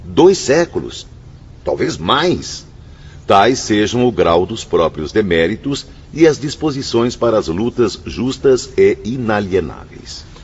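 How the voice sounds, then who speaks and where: A man's voice: fairly low pitch, sing-song, takes it slowly, breathy edge, full character voice. A Brazilian actor playing a solemn, grave man in a radio drama, declaiming with emphasis. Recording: dull lo-fi audio, faint background noise.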